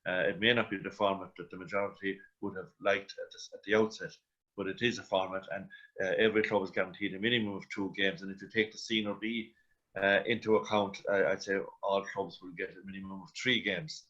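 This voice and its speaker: A man giving measured sports analysis on the radio, often saying "you know". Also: low voice, little pitch movement, a bit bright. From Ireland. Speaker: sports journalist, panel guest. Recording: dull lo-fi radio audio.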